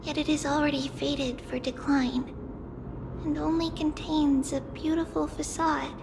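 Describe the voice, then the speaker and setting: A woman voicing a solemn young seer in a video game, guiding visitors through memories in measured, formal, wistful speech. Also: high voice, little pitch movement, vocal fry, noisy background. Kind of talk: video game voice acting, story narration. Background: game music.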